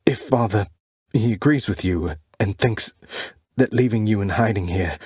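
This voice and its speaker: A young prince of the underworld in a dramatic video game. His voice sits fairly low and is recorded dry and close. He is earnest and defiant, with formal, slightly archaic phrasing that turns halting when feelings rise. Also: a little mellow, husky, no echo. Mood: thoughtful, probing. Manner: earnest, formal old-fashioned wording, halting when emotional. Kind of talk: video game character dialogue, dramatic acting.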